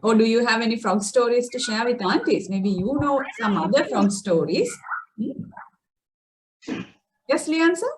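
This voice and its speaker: Lady teacher chatting w kids on video call, high lively voice, bit of noise and clicks. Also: normal speed, long pauses, rough recording. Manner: encouraging, praising, prompting with questions. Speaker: children's storytelling session host, teacher. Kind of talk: gentle interactive chat with children. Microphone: video call microphone.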